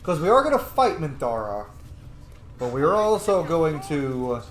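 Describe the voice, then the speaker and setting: Echoey, animated man doing game commentary. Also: fairly high pitch, moderate pace. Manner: joking, reacting out loud, swears freely. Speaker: gamer, streamer. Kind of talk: casual gameplay commentary. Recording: roomy echo, faint game noise.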